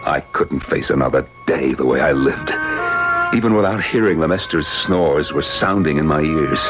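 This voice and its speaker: Low-voiced, despairing middle-aged husband. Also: male voice. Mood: agitated, bitter. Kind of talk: 1970s radio drama acting, first-person narration. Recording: dull vintage radio recording, loud music.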